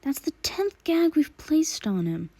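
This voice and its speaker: A woman acts out an exasperated hired goon in a superhero story. The delivery is loud and whiny, pleading like a defeated man at the end of his patience. The tone stays mellow. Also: sits high, sing-song, unhurried, no room sound, pitched above the performer's own voice, exaggerated voice.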